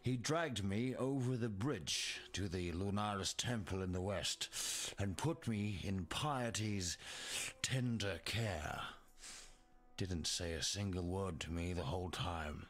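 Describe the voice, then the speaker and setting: Slow, low-voiced grim rogue. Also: male voice. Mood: grim, wry. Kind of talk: video game character dialogue.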